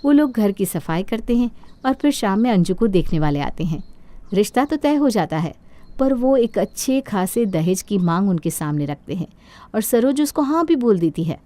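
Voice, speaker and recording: A woman's voice: medium pitch, sing-song, normal speed, dark tone. A woman reading scripted storytelling narration for a cartoon moral story.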